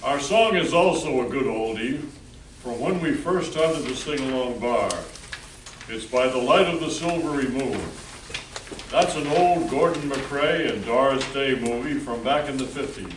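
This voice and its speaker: A man's voice: mid-range voice, measured pace. A man hosting an amateur radio play, reading scripted announcements to a live room. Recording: echoey room, distant mic, faint noise.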